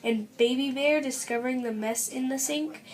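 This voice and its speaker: A woman's voice: high-pitched, normal speed. A woman narrating a children's storybook expressively as a read-aloud. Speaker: story reader.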